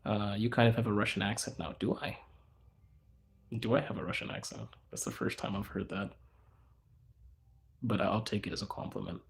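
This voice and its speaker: A young man hosting a casual livestream, answering chat in a hushed inside voice because people are around him. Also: fairly low pitch, fairly even intonation, moderate pace, vocal fry, pauses often. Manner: speaking quietly in an inside voice, laid back. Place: out in public in a city, people around.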